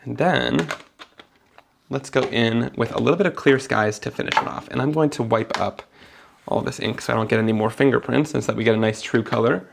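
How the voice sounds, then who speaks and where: A man's voice: mid-range voice, fairly quick, vocal fry, background noise. A young man, sniffly after being sick, chatting enthusiastically as he narrates each step of a live card-making tutorial.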